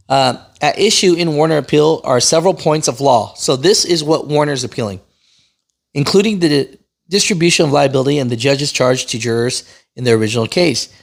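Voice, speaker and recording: A man with a slightly high voice and a noticeable creak delivers casual news commentary. He moves between reading aloud and explaining in plain, opinionated talk, often checking in with the listener like a channel host. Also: normal speed. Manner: conversational, opinionated, often checks in with "right?" and "you know".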